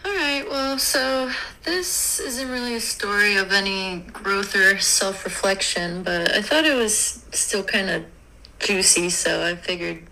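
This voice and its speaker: A woman's voice: mid-range voice, measured pace, pushed and bright, poor audio. A woman telling a podcast a personal story in a conversational, wry way. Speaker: listener contributor. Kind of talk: storytelling message.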